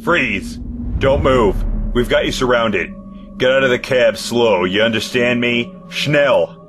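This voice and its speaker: A man playing a hard, contemptuous Allied soldier in a war audio drama, gruff, slangy and barking. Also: medium pitch, slow, over music, lightly acted. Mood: barking, threatening.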